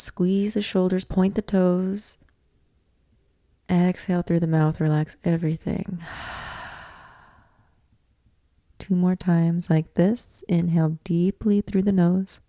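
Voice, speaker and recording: Slow, mellow woman guiding deep relaxation. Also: steady tone, dry recording, studio quality. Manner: measured, deliberate phrasing with long pauses. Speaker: yoga nidra teacher guiding a meditation. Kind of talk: guided meditation, slow instructional narration.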